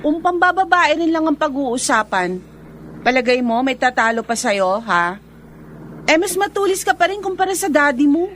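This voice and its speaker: High, animated jealous Filipina girlfriend. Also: normal speed, a little hiss or hum. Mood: accusing, taunting. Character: jealous, sharp-tongued, taunting young girlfriend. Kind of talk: Filipino radio drama acting.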